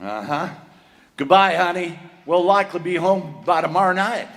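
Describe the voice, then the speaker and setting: A man's voice: sits high, moderate pace, a bit bright. A man playing a folksy frontier train engineer. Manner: folksy Western drawl. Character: plain-spoken male train engineer and father. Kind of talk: stage reenactment of old-time radio drama. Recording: reverberant theater hall.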